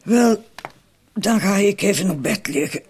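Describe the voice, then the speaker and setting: An actress playing a worried, defensive accomplice in a radio drama. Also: female voice, mid-range voice, expressive, unhurried, a little mellow, husky, heavily acted. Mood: weary, worried. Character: worried, defensive woman accomplice needing reassurance.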